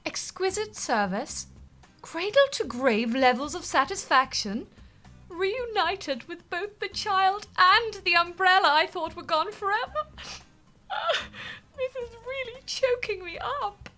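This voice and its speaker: An actress with a British accent playing an earnest, gullible, excitable manager of an office in an audio comedy. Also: female voice, extremely high pitch, slow pace, soft background music, exaggerated voice. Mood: moved.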